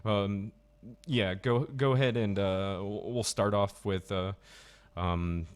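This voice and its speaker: A man with a fairly low voice hosts a loose voice-chat stream. He rambles, stops and starts, and fills the gaps with ums and uhs as he talks into a headset. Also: fairly even intonation, slow, smooth. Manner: rambling, many fillers and restarts. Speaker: stream host. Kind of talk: casual voice chat.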